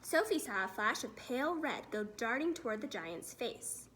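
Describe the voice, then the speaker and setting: Female storybook reader, teacherly read-aloud narration, high voice with lively swings in pitch. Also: normal speed, a little hiss or hum.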